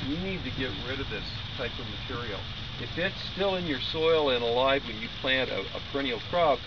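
Middle-aged Midwestern American man, field-day extension speaker, plain practical delivery; outdoor camera audio, somewhat muffled, steady background noise. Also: mid-range voice. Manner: plain, practical, unhurried explaining with small restarts. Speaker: university weed scientist. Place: outdoors at a fruit farm, before a standing group. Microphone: camera several feet away.

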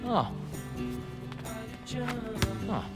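A man playing an upbeat young American in a video game, a loyal old friend who speaks casually and naturally. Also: extremely slow. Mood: easygoing. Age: young adult. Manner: casual, conversational. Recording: music behind dialogue.

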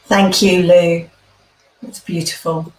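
A woman's voice: on the low side, flat delivery, slow pace, recorded from a distance. British woman vicar in an echoey room. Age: middle-aged. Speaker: vicar leading an online church service. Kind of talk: informal notices and spoken prayer. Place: small room at home, indoors. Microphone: computer microphone on a video call. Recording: roomy echo on a video call.

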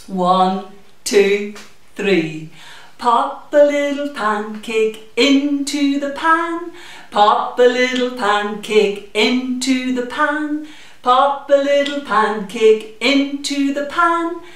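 A middle-aged woman hosting children's story time in an animated, sing-song manner, talking and singing to small children. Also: high voice, drawn-out words. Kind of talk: children's story time with puppet chat and songs. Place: indoors, small room in a community library. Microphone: camera a few metres away. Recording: very echoey room, distant microphone.

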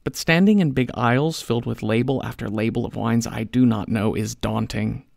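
A man's voice: mid-range voice, normal speed, gravelly, darkish tone, dry recording, studio quality. A man reading a podcast sponsor ad in a casual, wry, conversational way. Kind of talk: conversational scripted ad read.

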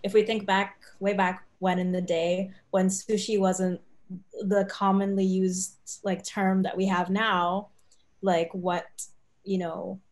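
Flat-voiced woman moderating a call. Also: medium pitch, takes it slowly. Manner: flat, chatty, many fillers. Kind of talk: panel discussion on a video call.

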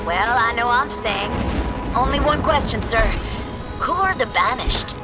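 A woman's voice: on the high side, animated, measured pace, rough recording. A woman playing a bold, defiant soldier in acted video game military dialogue. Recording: loud game music and battle noise.

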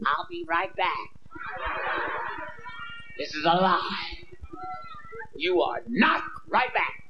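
A man in his thirties doing a brash stand-up routine on a theater stage into a handheld mic, acting out bits of dialogue. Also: high voice. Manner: brash, acts out voices of women and himself. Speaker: stand-up comedian. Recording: dull lo-fi VHS tape with hiss.

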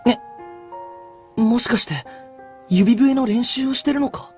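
An actor playing a brash, boyish young dog-like hunter in a Japanese radio drama, with casual, rough speech. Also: mid-range voice, measured pace, darkish tone, faint music behind, close to an everyday voice. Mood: musing, hesitant.

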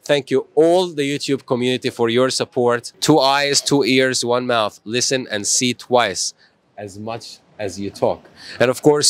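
A man's voice: mid-range voice, a bit bright. A middle-aged man hosting a live stream in a small studio, upbeat and motivational, thanking commenters. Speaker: host.

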